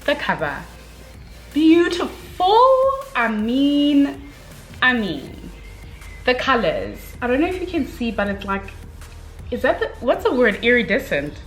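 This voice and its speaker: A young woman giving a casual, chatty book review, speaking in an expressive, gushing, slangy way with dramatic emphasis. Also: fairly high pitch, a little slow, soft background music, rough recording.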